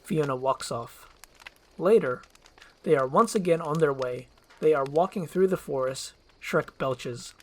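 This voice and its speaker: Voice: mid-range voice, measured pace, a little mellow. A narrator reading screenplay directions in a scripted ASMR storytelling style.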